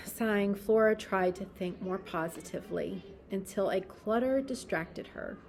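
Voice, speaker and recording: A woman reads a children's storybook aloud at a slow pace. Her voice sits at a medium pitch, and she gives phrases deliberate, dramatic emphasis. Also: a little mellow. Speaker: storybook reader.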